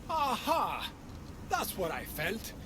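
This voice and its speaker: A man playing an excitable, gossipy victim of a purse thief in a video game, exclamatory in delivery. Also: sits high, slow pace, background noise, full character voice. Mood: eager. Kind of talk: video game cutscene acting.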